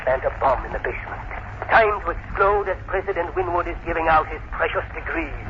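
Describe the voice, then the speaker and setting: Actor as imperious, vengeful professor in muffled radio drama. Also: male voice, fairly high pitch, a little fast, close to an everyday voice. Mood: coldly menacing. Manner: imperious, menacing, theatrical. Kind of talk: 1940s radio drama acting. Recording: muffled, noisy old radio recording.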